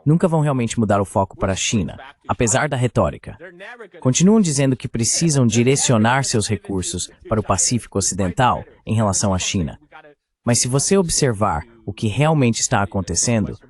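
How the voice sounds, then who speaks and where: A man's voice: lowish voice, animated, fairly quick, darkish tone. A man giving explanatory geopolitical commentary as a guest on a discussion panel.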